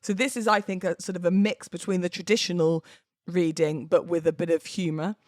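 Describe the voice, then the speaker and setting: A British woman hosting a podcast, moving between informal talk and expressive readings of verse. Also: lowish voice, a little fast, no room sound, studio quality. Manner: chatty, informal, giggly. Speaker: podcast host. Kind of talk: casual podcast chat with dramatic poetry readings.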